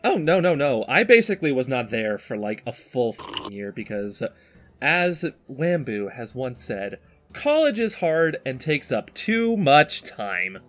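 Animated young man hosting, teasing. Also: medium pitch, measured pace, faint music behind. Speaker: podcast host. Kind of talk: casual podcast banter while drawing.

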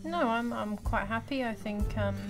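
A woman's voice: a little high, normal speed. A young woman chatting casually as a cast member, enthusiastic and supportive in the way she talks. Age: young adult. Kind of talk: casual group chat. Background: music.

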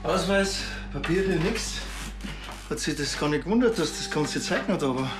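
An actor playing a sardonic, cocky, deadpan middle-aged policeman in a TV crime comedy. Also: fairly high pitch, normal speed, close to an everyday voice. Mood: dry, probing. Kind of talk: naturalistic TV comedy-crime acting. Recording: roomy sound, music behind.

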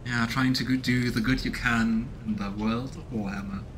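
A man's voice: mid-range voice, steady tone, normal speed, noisy background. A man in his thirties doing casual game commentary and reading narration aloud as he streams. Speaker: let's play streamer voicing a game. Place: small room indoors at home. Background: game music.

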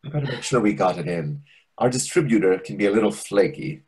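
A man plays a fussy, condescending waiter in a stage comedy. His voice is low and very husky, with animated swoops as he pompously explains things. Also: poor audio. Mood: smug. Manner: deliberate, pompous. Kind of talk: readers theatre comedy acting. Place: indoor room on a video call. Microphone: video call microphone.

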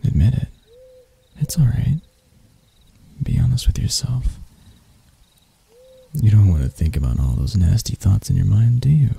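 A man voicing a deranged, seductive spider vampire in an intimate ASMR roleplay, soft and breathy, teasing and theatrical, doting yet menacing. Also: lowish voice, big pitch swings, exaggerated voice. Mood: dark, persuasive. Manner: slow, teasing, theatrical, with dark chuckles.

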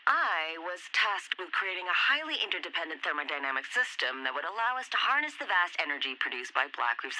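A woman playing a brisk, self-important lead scientist recording a formal, precise log in a video game. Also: fairly low pitch, pushed and bright, no echo, exaggerated voice, rough recording.

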